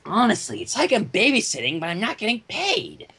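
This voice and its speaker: A man acts out a sassy cartoon pony mare, high and husky. She is exasperated and sarcastic, with a pitch that swings widely as she argues. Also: wildly swooping pitch, fairly quick, bright, forceful tone, heavily acted. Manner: indignant, sarcastic. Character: exasperated, sassy female cartoon ponies bickering.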